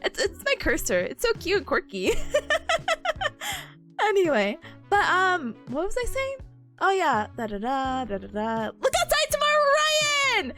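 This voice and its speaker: A woman's voice: very high voice, huge pitch swings, a little slow, fairly bright tone, faint music behind. A young woman streamer chatting casually with her viewers, bubbly, giggly and playful, reading comments as she goes. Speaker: study streamer.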